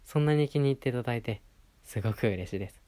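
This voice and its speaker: A man's voice: mid-range voice, quick pace, mellow, close to an everyday voice. A man playing a polite, attentive clothing shop assistant in a roleplay audio, speaking courteously to a regular customer. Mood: delighted, grateful. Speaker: voice performer in a situation voice audio. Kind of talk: Japanese situation-voice roleplay addressed to the listener.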